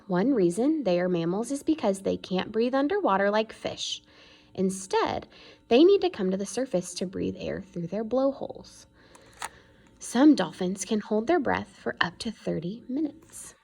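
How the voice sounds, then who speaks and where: Woman, medium pitch, creaky edge, educational read-aloud, explanatory delivery, emphasis on key words. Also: sing-song, measured pace. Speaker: reader reading a children's science book aloud.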